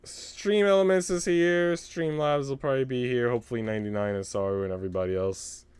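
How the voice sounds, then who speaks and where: A man livestreaming, chatting casually with slangy, sweary talk and reading a visual novel aloud, with music softly behind him. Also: medium pitch, brisk, smooth, dry recording. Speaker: live streamer.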